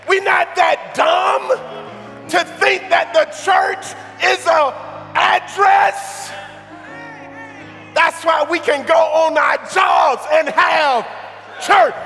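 A middle-aged man preaching a sermon in a large church sanctuary on a headset mic, shouting at the peaks and tossing quips at the congregation. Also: very high voice, gravelly. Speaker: pastor. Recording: echoey sanctuary with congregation and organ. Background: congregation and soft music.

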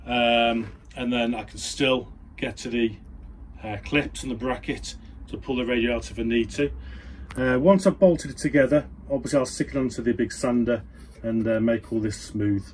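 A man's voice: mid-range voice, normal speed, a little mellow. A middle-aged man casually talking through a hands-on build, thinking aloud as he works in a small indoor workshop. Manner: thinking aloud while working with his hands. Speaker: DIY radio hobbyist vlogger. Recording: clear background noise, room ambience.

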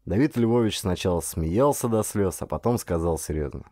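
Man reading an audiobook, lowish soft-edged voice, really lively delivery, very dry close sound. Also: normal speed, dry recording. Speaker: audiobook narrator, actor. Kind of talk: expressive first-person audiobook narration.

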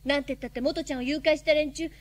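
Woman as a very high-voiced, bossy vampire catgirl. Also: fairly even intonation, racing, natural voice. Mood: self-assured. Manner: haughty, scolding. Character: bossy, self-assured half-vampire, half-catfolk young woman. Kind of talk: radio drama acting.

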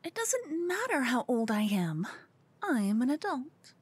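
A woman's voice: high voice, very animated, measured pace, no echo, heavily acted. A woman voicing a cute, obsessed human fangirl in an ASMR roleplay, stammering, flustered and brazen, often slipping toward a whisper. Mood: nervous, defiant. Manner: stammering, breathy, dropping into whispers. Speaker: ASMR voice performer.

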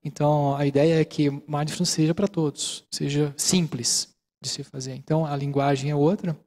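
A middle-aged man with a Brazilian accent explains his subject calmly, his voice sitting slightly high. He speaks into a handheld microphone in a large auditorium and keeps checking in with his listeners. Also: normal speed. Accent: Brazilian Portuguese. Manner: calm, explanatory, many fillers like "né". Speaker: mindfulness lecturer. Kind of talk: relaxed lecture with audience questions.

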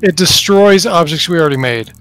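A man's voice: fairly high pitch, animated, brisk, fairly bright tone, soft background music. A man chatting casually with friends during a game, explaining things as he plays. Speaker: player in a group game session. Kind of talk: casual gaming chat.

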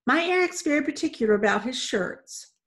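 A woman voicing a tiny older housewife who is steady, stern and no-nonsense, in a storybook reading. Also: high voice, brisk, voice pushed up. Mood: dry, steady. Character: tiny, steady, firm older custodian's wife.

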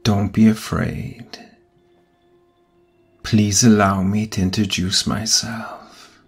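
A man playing an ancient vampire captor in a whispered ASMR roleplay, soothing yet menacing, coaxing softly and deliberately. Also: fairly low pitch, fairly even intonation, heavily acted. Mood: earnest, intense. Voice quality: breathy whisper. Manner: slow, hushed, deliberate, coaxing. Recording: close voice over background music. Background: music.

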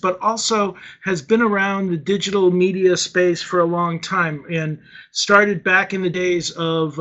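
A man's voice: high voice, pushed and bright, muffled recording. An older man from Boston, hosting a web talk show over a video call, chatty with many fillers. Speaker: host. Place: home office. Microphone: video call webcam.

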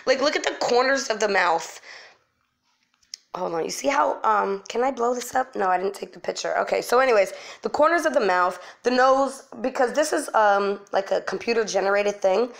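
A woman's voice: mid-range voice, normal speed, vocal fry. A woman chatting casually to her online followers, informal and repeating words for emphasis, sick and losing her voice. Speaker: online video host. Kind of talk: casual chat to followers, commentary.

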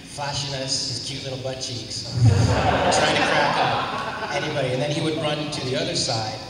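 A man's voice: a little high, animated, moderate pace. Teasing man telling stories on a panel. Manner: playful, teasing storyteller. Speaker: panel guest actor. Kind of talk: casual convention panel talk. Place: large convention hall panel, indoors. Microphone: handheld mic. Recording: echoey hall, far from the mic, loud crowd noise.

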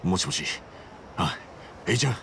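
An actor playing an earnest, streetwise and protective middle-aged hero in a dramatic video game. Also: male voice, sits low, animated, moderate pace, gravelly, bright, forceful tone, slight background noise, full character voice. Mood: casual.

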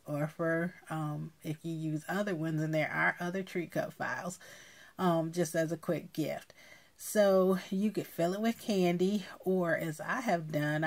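Low-pitched, gravelly woman showing a craft. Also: a little mellow. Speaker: craft video host. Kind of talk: casual craft project showcase.

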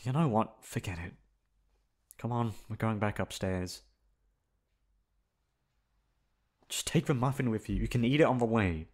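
A man's voice: fairly low pitch, a little mellow, lightly acted. A man acting in an ASMR roleplay as a flustered, dominant young best friend, hesitant at times and blunt at others. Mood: annoyed, bossy. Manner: hesitant with pauses, turning bossy and blunt. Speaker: ASMR voice actor.

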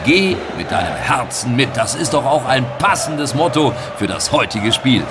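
An actor playing an excitable, self-assured male football commentator in an audio play, dramatically repeating himself for suspense. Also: medium pitch, moderate pace, natural voice. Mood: enthusiastic. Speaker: sports commentator. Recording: music and loud stadium crowd behind.

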